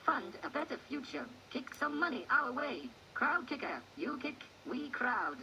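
A woman playing a female info robot in a video game, giving formal, deadpan public announcements for a space colony, heard through laptop speakers. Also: high voice, unhurried, vocal fry, full character voice. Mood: promotional. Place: played from a laptop, filmed close by phone. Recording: game audio filmed off laptop speakers, noisy.

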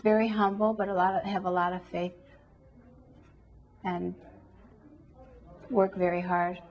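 A woman's voice: lowish voice, little pitch movement, unhurried, dull audio. A middle-aged woman artist giving an interview in a classroom, speaking earnestly and reflectively. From United States. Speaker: artist and foundation founder.

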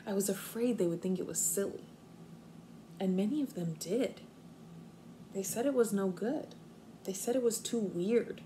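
A young woman, a kindergarten teacher, reading aloud expressively and explaining things simply and deliberately to small children. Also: mid-range voice, warm tone. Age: young adult. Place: living room at home, indoors. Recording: faint room noise, home video.

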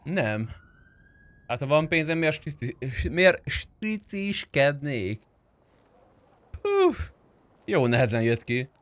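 A man's voice: fairly high pitch, wildly swooping pitch, pauses often, no room sound. Close, dry-voiced male gamer. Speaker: streamer playing a roleplay game. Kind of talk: in-character gameplay roleplay with casual chat.

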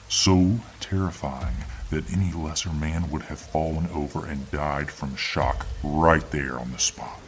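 A man narrating a scripted spooky audio story in a slow, deliberate way, with music behind him. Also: a bass voice, a little hiss or hum. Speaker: ASMR storyteller. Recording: narration over music and rain sounds.

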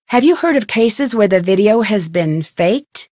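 A woman playing a young, inquisitive and determined female amateur detective in a video game, speaking her scripted lines clearly. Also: medium pitch, animated, measured pace, darkish tone, no room sound, studio quality. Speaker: voice actress in a detective adventure game.

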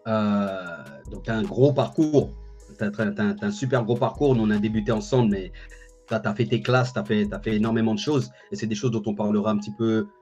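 A man's voice: fairly low pitch, fairly even intonation, brisk, darkish tone. A man in his thirties hosting a casual interview, often repeating his phrases. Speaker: interviewer and host. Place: indoor room with bookshelf, video call. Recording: dull lo-fi online call, music behind. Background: music.

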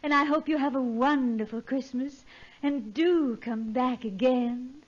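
A woman's voice: high-pitched, measured pace. An actress playing a sweet, courteous saleswoman with a polished, gracious manner in a 1940s radio comedy. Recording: dull, lo-fi old radio recording.

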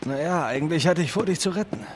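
Wry adventurer with swooping intonation. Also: male voice, a little high, animated, fast, slight background noise. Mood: puzzled banter. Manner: wry, sarcastic banter. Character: wisecracking male treasure-hunting adventurer, unruffled, sarcastic. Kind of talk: cinematic video game voice acting, German dub.

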